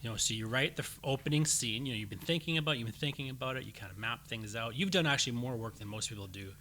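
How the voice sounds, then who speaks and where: A man's voice: medium pitch, fast, pushed and bright, a little hiss or hum. A man hosting a podcast about writing, talking casually and explaining his points through leading questions. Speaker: podcast host. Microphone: studio microphone.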